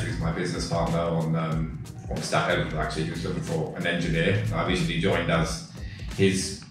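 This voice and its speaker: An English man in his forties, a tech company co-founder, talking casually in an interview with lots of fillers and restarts. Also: sits low. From England. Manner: relaxed, rambling with many fillers and restarts. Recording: echoey room, far from the mic, music behind.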